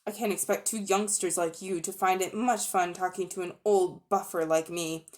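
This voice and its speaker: A woman's voice: lowish voice, fairly even intonation, moderate pace, close to an everyday voice. A woman voicing a scheming, falsely friendly old uncle who is a magician, in a storybook reading. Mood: sly.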